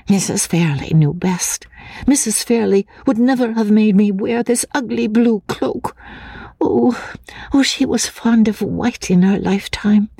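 A fragile, breathy, childlike young woman. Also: a little high, no echo, pitched above the performer's own voice, full character voice. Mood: tender. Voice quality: soft, breathy, husky. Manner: pleading, hesitant, naive, with repeated words. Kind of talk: dramatic audiobook reading.

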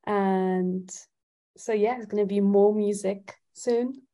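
A woman's voice: mid-range voice, steady tone, takes it slowly, dark tone. A woman from Italy chatting casually as a guest singer-songwriter in an interview.

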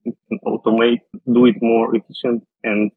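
A man with a Russian accent giving halting, hesitant answers as an interview guest. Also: mid-range voice, completely flat delivery, slightly rough. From Russia, western Siberia. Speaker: podcast guest, data scientist. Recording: muffled phone-like line, roomy echo.

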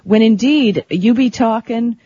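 A woman's voice: a little high, unhurried, mellow, slight background noise. A woman co-hosting a talk radio show in casual, conversational discussion. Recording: dull lo-fi radio stream.

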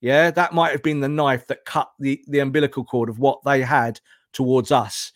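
A man's voice: medium pitch, fairly even intonation, normal speed, no echo, studio quality. A man talking as a football pundit in an impassioned, opinionated discussion, emphatic and full of rhetorical questions and repetition.

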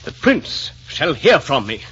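An actor playing a haughty, indignant villainous duke, blustering with outrage in a husky voice, in 1940s radio drama style. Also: male voice, on the high side, very animated, unhurried, fairly bright tone, slight background noise. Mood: outraged, haughty. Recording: muffled 1944 radio broadcast with music.

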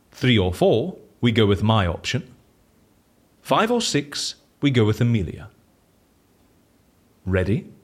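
A whimsical, petulant schemer in a comic audio fiction. His husky voice leaps wildly up and down, theatrical and fussily pedantic. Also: lowish voice, heavily acted. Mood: scheming. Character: eccentric, playful, petulant male office schemer.

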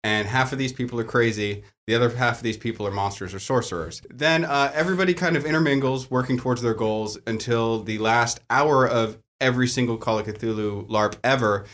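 A man's voice: medium pitch, a little fast, hardly any pauses, fairly bright tone. A man in casual podcast chat, explaining how he runs live-action games with dry humor. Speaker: larp game master.

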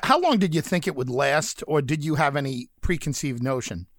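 A man's voice: a little high, normal speed, dry recording. Effusive male radio host, dry studio sound. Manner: warm, effusive praise, steady host patter. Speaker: radio talk show host. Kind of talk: radio interview.

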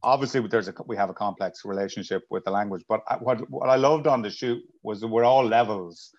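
An Irish man filmmaker talking thoughtfully in an interview, restarting sentences and pausing often. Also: fairly low pitch. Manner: thoughtful, with many restarts and "uh" pauses. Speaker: filmmaker, guest. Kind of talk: casual interview chat. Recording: dull lo-fi audio.

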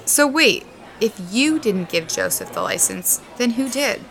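A woman's voice: a little high, very animated, unhurried, fairly bright tone, exaggerated voice, rough recording. An actress playing a frank, exasperated young woman in an audio drama, speaking bluntly to her quarrelling friends. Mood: puzzled, frank. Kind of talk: naturalistic audio drama dialogue.

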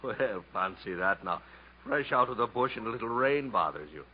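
An actor playing an insinuating, hearty-drinking bungalow owner with mock-polite, domineering manner in 1950s radio style. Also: male voice, on the low side, animated, normal speed, breathy edge. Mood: sly, insinuating. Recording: dull, noisy vintage radio recording.

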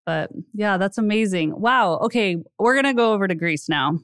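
A woman's voice: medium pitch, expressive, moderate pace, fairly bright tone, clean tone, no room sound, clean studio sound. A woman hosting a podcast interview, chatty and curious, laughing easily as she asks questions. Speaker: podcast host. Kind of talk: casual interview chat.

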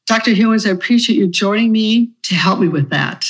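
A woman hosting an interview podcast, asking her prepared questions in a measured, polite way. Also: mid-range voice, husky. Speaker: podcast host, community advocate.

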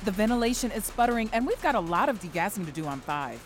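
A woman playing a brisk, impatient department head with a curt, matter-of-fact manner. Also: mid-range voice, very animated, natural voice. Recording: loud background noise.

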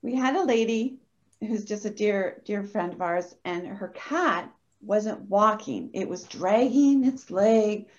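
A woman talking as a podcast guest, telling stories in a chatty, animated way. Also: medium pitch, takes it slowly. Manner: chatty, animated anecdote teller. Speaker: guest, energy healer. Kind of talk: casual podcast interview storytelling. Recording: dull lo-fi audio.

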